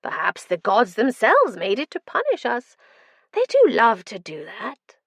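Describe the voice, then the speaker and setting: A man's voice: extremely high pitch, huge pitch swings, unhurried, fairly bright tone, no echo, voice pushed up, heavily acted. A woman voicing a mad male prisoner in his forties who is gleeful, manic and given to sudden whispers. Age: 40s.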